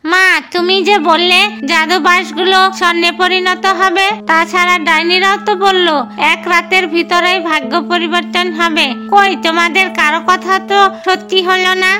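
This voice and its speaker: A woman's voice: very high voice, little pitch movement, normal speed, over music. A woman voicing an eager, earnest young fox girl in a fairy-tale cartoon. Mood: disappointed. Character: young female fox child, eager, trusting, determined.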